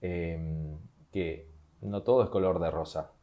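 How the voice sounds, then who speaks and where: Deep, mellow Argentine man, hesitant. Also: a little slow, slight background noise. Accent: Argentine Spanish. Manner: hesitant, many fillers and repeated words. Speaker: podcast co-host. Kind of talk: casual chat.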